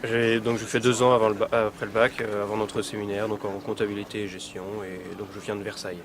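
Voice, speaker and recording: A man's voice: fairly low pitch, level tone, quick pace, noisy background. A man of twenty introducing himself hesitantly as a seminarian, with many fillers. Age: 20s.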